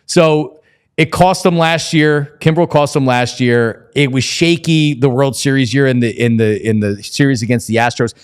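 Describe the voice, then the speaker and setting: A man's voice: medium pitch, moderate pace, clean tone. A man hosting a casual sports talk show, conversational and opinionated as he interviews a guest.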